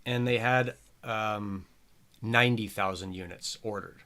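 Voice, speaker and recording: A man's voice: lowish voice, steady tone, a little slow, pushed and bright, smooth. Chatty, rambling male show host. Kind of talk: casual podcast chat.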